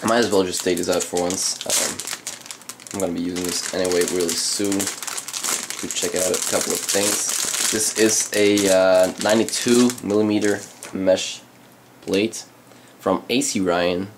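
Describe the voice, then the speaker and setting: Dutch native speaker, male, very low voice, halting hobbyist unboxing talk. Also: flat delivery, noisy background. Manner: halting, many ums and uhs. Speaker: channel host reviewing PC parts. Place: indoors at a desk, hands on camera.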